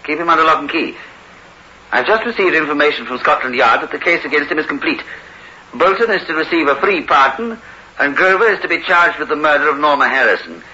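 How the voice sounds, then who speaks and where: An actor playing a concerned, authoritative prison governor with clipped, courteous official speech in a 1960s radio drama. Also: male voice, a little high, lively intonation, fairly quick, bright, forceful tone. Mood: brisk, pleased. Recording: dull, hissy old radio recording.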